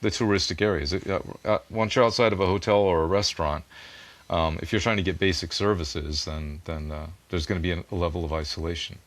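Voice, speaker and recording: A man's voice: low-pitched, a little fast. A man guest in a conversational interview, earnestly explaining things at length and poking fun at himself, a native English speaker. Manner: earnest, explanatory, self-deprecating. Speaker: music producer and interview guest.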